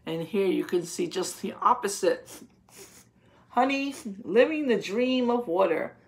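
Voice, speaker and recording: A woman reads aloud for young children at a slow pace, with an average-pitched voice. She phrases things deliberately and explains them simply, in the manner of a teacher. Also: lively intonation. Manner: didactic, asking simple rhetorical questions.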